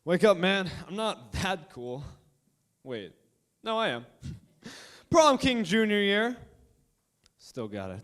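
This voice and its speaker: A young man playing a cocky high-school jock. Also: sing-song, slow, a bit bright, heavily acted. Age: young adult. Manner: laid-back, swaggering slang.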